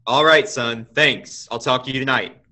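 Casting: young man as decent, weary family man, medium pitch with a bright edge, radio play. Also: normal speed. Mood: weary, gentle. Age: young adult. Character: tired, principled, kindly father and loan manager.

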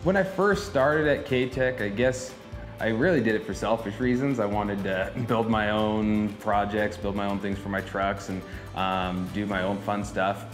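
A young man in his twenties, a welding shop owner, talking in a relaxed, easygoing documentary interview. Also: fairly low pitch, unhurried. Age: 20s. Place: indoor fabrication shop office. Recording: roomy shop echo, music under.

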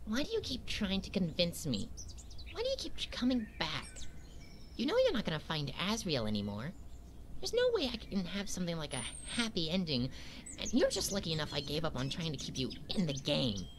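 A woman's voice: lowish voice, wildly swooping pitch, a little fast, background noise. A woman voicing a bitter, soulless talking flower in a comic dub, scornful and dramatic, swinging from sullen to menacing.

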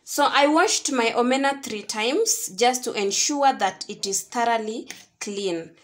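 A woman's voice: on the high side, expressive, a little slow. A Kenyan woman giving step-by-step cooking instructions in an explanatory tutorial style. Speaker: home cook, video host.

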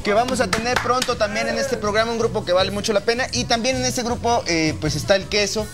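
A man's voice: high-pitched, fast talker. A man hosting a TV music show, asking a guest playful questions and joking with slang. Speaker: TV show host. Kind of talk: casual interview chat. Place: TV studio set made up as a living room. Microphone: handheld microphone.

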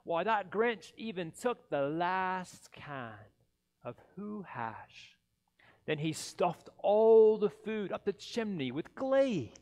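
A man in his forties reading a children's story theatrically, with dramatic, deliberate pauses between phrases. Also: high-pitched, big pitch swings, darkish tone. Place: indoors in a church by a Christmas tree. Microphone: headset mic.

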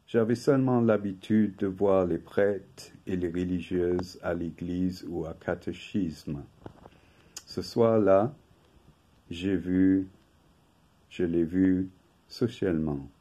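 Slow, deep-voiced man reading aloud. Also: warm tone. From England. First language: English. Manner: slow, measured reading from paper. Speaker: video host. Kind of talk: reading a prepared talk. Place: home room with bookshelf.

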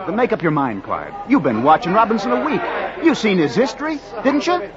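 An actor playing an eager, persuasive baseball scout in a 1940s radio drama. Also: male voice, sits high, very animated, fairly quick. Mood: insistent. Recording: muffled old radio, outdoor crowd bed.

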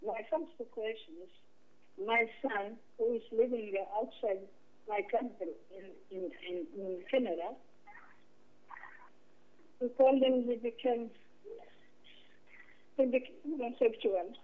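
A distressed, tearful woman calling in, speaking haltingly in broken English and repeating her words. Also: on the high side. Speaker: caller. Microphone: phone line. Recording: tinny phone line.

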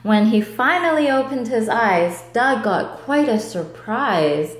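Slow, animated woman reading a storybook. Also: on the high side. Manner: deliberate, animated. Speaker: storybook reader. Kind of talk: expressive children's read-aloud. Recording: roomy indoor echo.

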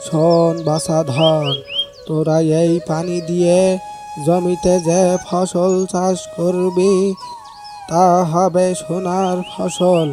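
A man playing a kindly, secretive village uncle in a cartoon fairy tale, speaking in a measured, instructive way. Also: high voice. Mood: gentle, instructive. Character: kindly village uncle, secretive, wise keeper of a magic spade. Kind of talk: animated fairy-tale dialogue.

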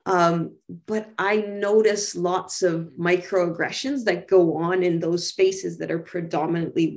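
A middle-aged Canadian woman from Toronto, sharing personal reflections on a video call from a room at home. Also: fairly low pitch. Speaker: guest speaker. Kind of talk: reflective personal talk in an online panel. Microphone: video call microphone.

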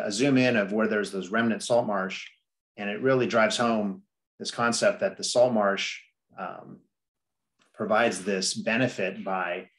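A middle-aged man with a lowish voice walks through slides on a video call from an indoor office. He explains at a measured pace and fills his pauses with "um" and "you know". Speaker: district staff presenter. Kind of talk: slide presentation at a public meeting.

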